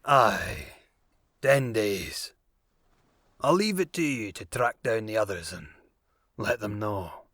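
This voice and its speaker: A man's voice: lowish voice, expressive, slow pace, long pauses, a bit bright, no echo, heavily acted. A man playing an easygoing, decisive pirate captain in an audio drama, with nautical phrasing. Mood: agreeable. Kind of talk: audio drama character acting.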